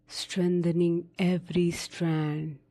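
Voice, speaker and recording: A woman narrating a guided sleep meditation in a soothing, unhurried manner with long pauses between short instructions. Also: lowish voice, little pitch movement, dark tone.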